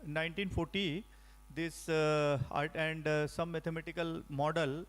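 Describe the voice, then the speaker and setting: High-voiced Indian man giving a lecture. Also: steady tone. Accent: Indian English. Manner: halting, many fillers and restarts. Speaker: faculty trainer. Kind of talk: lecture to teachers.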